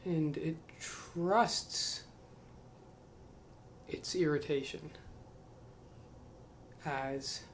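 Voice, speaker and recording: Musing male host reading to camera: slightly high voice, slow self-questioning asides, faint hiss behind. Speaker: channel host reading a book aloud. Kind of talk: reading aloud with reflective commentary.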